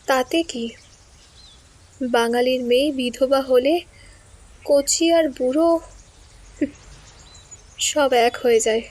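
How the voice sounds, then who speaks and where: Young widow, high-voiced, slow, resolute and resentful. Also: female voice, steady tone, a little mellow. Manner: firm, resentful replies. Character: young widowed daughter, stubborn and bitter. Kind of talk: audio drama acting.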